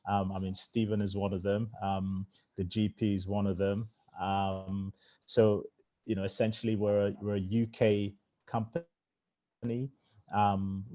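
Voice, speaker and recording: A man who grew up in England, a startup founder speaking casually in a fireside chat, with many fillers. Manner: conversational, lots of fillers, low flat voice.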